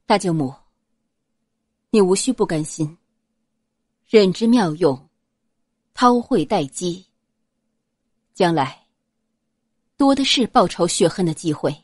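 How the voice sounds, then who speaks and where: A woman voicing a composed, shrewd twelve-year-old noble girl who gives low, deliberate counsel in an audiobook. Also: lively intonation, a little mellow, breathy edge, dry recording, studio quality, exaggerated voice. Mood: quietly resolute.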